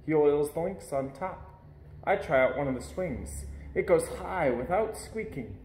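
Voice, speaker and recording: A man reading a children's story aloud in an expressive narrating style. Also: on the high side, unhurried, a little hiss or hum. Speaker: storybook reader. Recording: echoey room.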